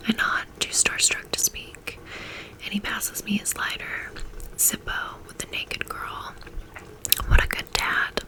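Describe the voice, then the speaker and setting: A woman reading a first-person novel aloud in a soft, intimate whisper, in a steady ASMR style. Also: takes it slowly, low-quality recording. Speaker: audiobook reader.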